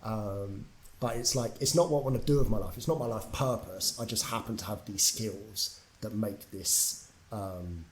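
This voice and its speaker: A man's voice: on the low side, normal speed, rough recording. A young man from England, a podcast guest telling his story in a candid, casual way, swearing freely and using lots of fillers. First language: English.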